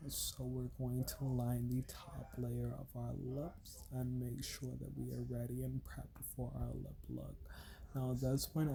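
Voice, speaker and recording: Congested young man, gravelly monotone. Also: medium pitch, slight background noise. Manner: rambling, heavy use of filler "like". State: congested from a cold. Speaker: beauty vlogger. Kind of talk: casual makeup tutorial voiceover.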